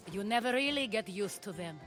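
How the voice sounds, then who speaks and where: A woman plays a shrewd, discreet hostess of ancient Greece in a video game. She is measured and polished, at a medium pitch, over faint music. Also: animated, a bit bright, lightly acted. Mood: discreet, knowing. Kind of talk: video game dialogue acting.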